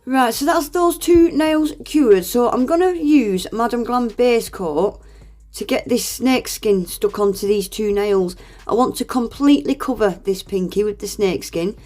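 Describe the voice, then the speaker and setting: A woman's voice: fairly high pitch, a little slow, a little mellow, faint music behind. Chatty woman with a stuffy nose. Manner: chatty, self-deprecating, laughs often. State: congested with a cold. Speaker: nail art vlogger. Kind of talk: voice-over tutorial narration.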